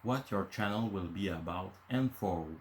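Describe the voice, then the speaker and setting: A man's voice: deep voice, moderate pace. A man in his fifties from Quebec City, a native French speaker with a Quebecois accent, hosting his channel and talking casually from bullet points with many filler uhs. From Quebec City, Canada. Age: 50s. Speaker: channel host.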